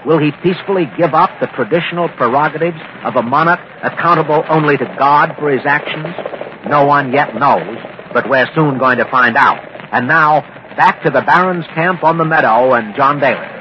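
A man's voice: on the high side, moderate pace, natural voice. A man playing a grave news anchor in a vintage radio drama, analysing events and posing questions. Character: grave male news anchor setting the historical scene. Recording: muffled, noisy vintage radio recording.

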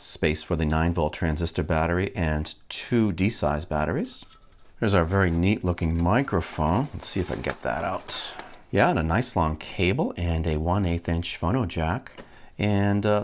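Deep-voiced man with lively intonation. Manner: unhurried, narrates each step as he works. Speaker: host of a vintage electronics channel. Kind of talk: casual hands-on unboxing narration.

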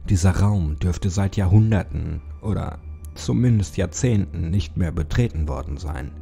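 Very dark, gravelly man narrating horror. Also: low voice, moderate pace, soft background music. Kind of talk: scripted dramatic audiobook narration of a creepypasta.

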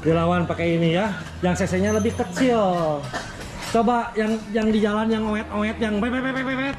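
A man's voice: high voice, steady tone, moderate pace. A male vlogger with a West Javanese Indonesian accent, chatting casually and teasingly and repeating words in bursts, recorded on an action camera in an open roofed paddock. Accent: Sundanese-region Indonesian (West Java). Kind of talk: casual bantering chat. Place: open-sided roofed motorbike paddock at a race circuit.

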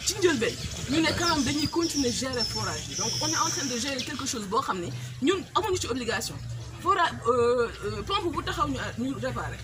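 Animated Senegalese woman addressing a crowd outdoors. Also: high voice, fairly quick. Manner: animated, switching between Wolof and French, gesturing with papers. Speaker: water company manager. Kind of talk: public address at a community meeting. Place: outdoors under a tree before a crowd. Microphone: far camera, no mic. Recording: loud outdoor noise, distant camera.

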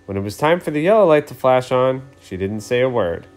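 A man's voice: medium pitch, lively intonation, fairly quick, darkish tone. Man reading a storybook to children. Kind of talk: casual introduction and storybook read-aloud.

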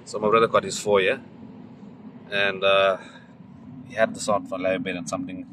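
Middle-aged South African man vlogging while he fishes, slightly low voice, easygoing and rambling with lots of fillers, loud surf and wind around the camera mic. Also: normal speed. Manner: relaxed, rambling, filler-heavy. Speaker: fishing vlogger. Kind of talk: casual vlog narration. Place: open beach by the surf. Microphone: handheld camera mic. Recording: windy beach with loud surf.

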